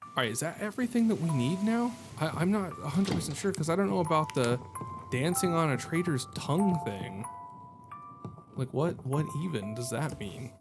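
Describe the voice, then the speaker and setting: A man's voice: on the high side, animated, moderate pace, music in the background. A man hosting a gaming video with casual let's-play commentary, thinking aloud in a wry, jokey way. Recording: game ambience and noise behind voice.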